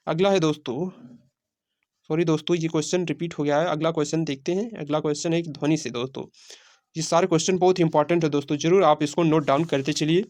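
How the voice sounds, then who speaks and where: Man, fairly high pitch, teacher, question-and-answer lesson, repetitive explaining. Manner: repeats phrases, asks and answers own questions. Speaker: teacher of exam-prep science class.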